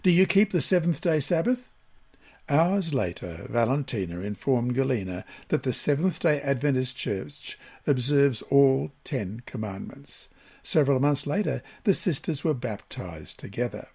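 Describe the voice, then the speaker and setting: Male lesson reader from Australia, mid-pitched and a little raspy, delivering scripted devotional material with deliberate, measured phrasing. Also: animated, a little mellow.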